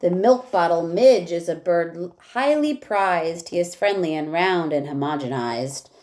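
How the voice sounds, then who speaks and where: A woman teacher reading a rhyming picture book aloud in a measured way, with casual asides. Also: fairly low pitch, expressive, a little mellow.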